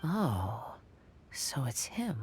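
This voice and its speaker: Casting: observant young traveler, low calm voice with lively turns, fantasy game, music underneath. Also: female voice, drawn-out words, a little hiss or hum, exaggerated voice. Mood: calm, curious.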